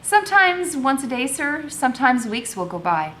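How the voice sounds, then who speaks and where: Woman voicing a pleading, frightened girl, pitched up. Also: sing-song, normal speed, bright, forceful tone, full character voice. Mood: anxious, respectful. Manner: pleading, urgent. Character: frightened, pleading enslaved girl protecting little sister. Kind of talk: storybook read-aloud character voice. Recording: noisy home recording with low rumble.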